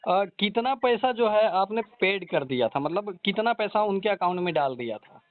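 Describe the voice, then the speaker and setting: A man's voice: sits high, expressive, normal speed. A man runs an informal phone interview. He probes for detail and echoes answers back. The line sounds narrow and dull. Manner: probing, repeats answers back. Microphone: phone call. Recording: thin narrowband phone call recording.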